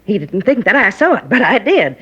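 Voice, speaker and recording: A chatty, prying middle-aged neighbor rambling on in folksy, confiding talk. Her voice is a little husky, heard through a dull lo-fi 1950s radio recording. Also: medium pitch, very animated, a little fast, fairly bright tone. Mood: gossiping. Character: gossipy nosy middle-aged woman neighbor. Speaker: apartment neighbor questioned by police. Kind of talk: 1950s radio drama acting.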